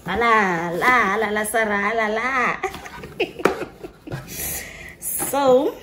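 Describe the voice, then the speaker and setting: A woman's voice: on the high side, very slow, background noise. A young woman chatting to camera, gushing about her perfumes and slipping into local phrases. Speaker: vlogger. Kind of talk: casual chat to camera, showing perfumes.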